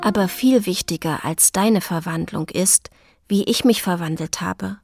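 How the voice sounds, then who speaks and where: A wounded, defiant woman in a radio play. The voice is creaky and deliberate, intense and often hushed, with faint music beneath it. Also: lowish voice. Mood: resolute. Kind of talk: radio drama acting.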